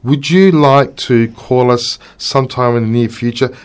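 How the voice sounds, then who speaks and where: Courtly Australian radio host. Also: male voice, medium pitch, normal speed, clean tone. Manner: courtly and effusive. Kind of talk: radio interview. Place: radio studio.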